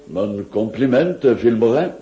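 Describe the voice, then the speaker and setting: An actor playing a polite, wry gentleman friend in a radio drama, suave and formal. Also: mid-range voice, lively intonation, measured pace, close to an everyday voice. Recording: muffled radio play with faint music.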